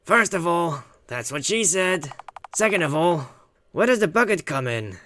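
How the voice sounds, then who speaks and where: A man's voice: fairly high pitch, big pitch swings, a little slow, bright, forceful tone, a little hiss or hum. A man giving joking, sarcastic gaming commentary and reading visual novel dialogue aloud. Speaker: video game let's player.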